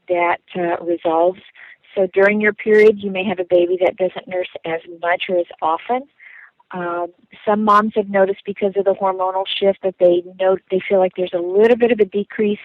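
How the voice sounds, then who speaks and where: A woman lactation consultant calmly answering a listener's question in a recorded message, often pausing with ums and uhs. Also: medium pitch, no room sound, muffled recording. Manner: calm, advising, frequent ums and uhs.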